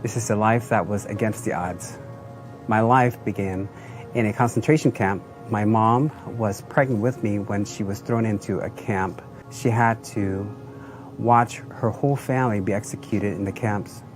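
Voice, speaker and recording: A man's voice: on the low side, moderate pace. A man giving an earnest personal testimonial, telling his story plainly in short phrases. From Southeast Asia and the United States. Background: music.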